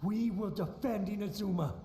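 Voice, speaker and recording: A man's voice: high-pitched, moderate pace, mellow, natural voice. A man playing a fervent, haunted samurai soldier's ghost in a video game, with dramatic, pleading delivery. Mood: urgent warning. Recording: long echoing reverb, game music underneath.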